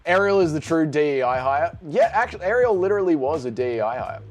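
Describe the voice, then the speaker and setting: A man's voice: on the high side, animated, fast, faint music behind. A middle-aged man streaming live, reading and answering chat in a brash, sarcastic way and swearing freely. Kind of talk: casual livestream chat.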